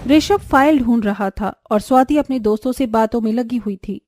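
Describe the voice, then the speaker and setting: A woman's voice: on the high side, normal speed, darkish tone, no room sound, clean studio sound. A woman narrating a scripted family cartoon story.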